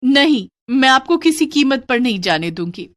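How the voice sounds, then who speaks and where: A woman's voice: sits high, sing-song, moderate pace, no echo, clean studio sound, voice pushed up. A woman playing a pleading, worried poor mother. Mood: worried, insistent. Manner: pleading, earnest. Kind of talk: Hindi moral story dramatized narration.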